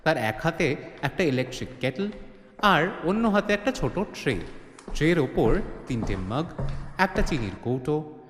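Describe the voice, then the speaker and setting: A man's voice: a little high, expressive, a little slow, fairly bright tone, a little hiss or hum. A man delivering scripted, dramatic narration as the storyteller of an audio drama. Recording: echoey reverb on the voice.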